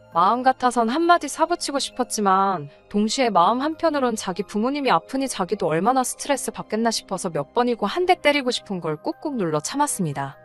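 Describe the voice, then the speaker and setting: A woman narrates a scripted personal story in the first person. Her pitch sits in a middle range for a woman and moves in an animated, lively way. Faint music plays behind her voice.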